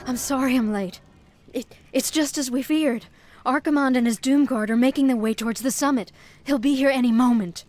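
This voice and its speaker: A woman's voice: high-pitched, a little fast, a little mellow, slight background noise, lightly acted. A woman playing a young, earnest and resolute human sorceress with urgent delivery in a game cinematic. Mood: urgent, worried. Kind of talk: dramatic game cinematic acting.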